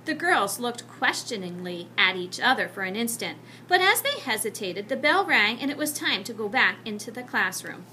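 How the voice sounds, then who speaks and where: High, animated woman reading a storybook. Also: normal speed, few pauses, slight background noise. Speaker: storybook reader. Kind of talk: expressive children's book narration.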